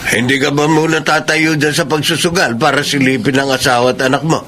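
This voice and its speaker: A man's voice: fairly high pitch, steady tone, brisk, pushed and bright, a little hiss or hum. An actor playing a concerned, disapproving older village man in a Filipino radio drama, earnest and reproachful.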